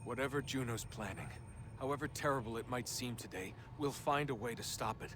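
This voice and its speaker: A man's voice: fairly quick, close to an everyday voice. A man playing a resolute young hero in a video game, plain-spoken, earnest and firm. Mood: resolved, grave. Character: resolute young male hero facing sacrifice. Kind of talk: naturalistic video game cutscene acting. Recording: clear background noise and rumble.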